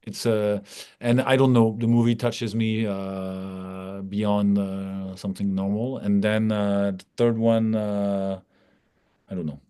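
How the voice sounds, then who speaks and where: A man's voice: lowish voice, flat delivery, takes it slowly, warm tone, clear, resonant tone. A man with a French accent in a casual interview, hesitantly searching for words in English. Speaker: film director being interviewed. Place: office in Paris, on a remote call.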